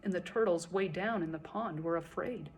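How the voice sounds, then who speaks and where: A woman reciting a children's story in verse as a storyteller, with expressive, dramatic and deliberate phrasing. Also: lowish voice, a little hiss or hum.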